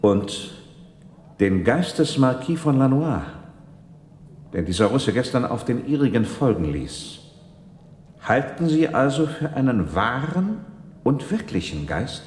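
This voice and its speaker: A man's voice: medium pitch, big pitch swings, a little slow, pauses often, darkish tone. A man playing a formal, sceptical interrogator in a German radio play, recorded in an echoing hall with some background noise. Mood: sceptical, pressing.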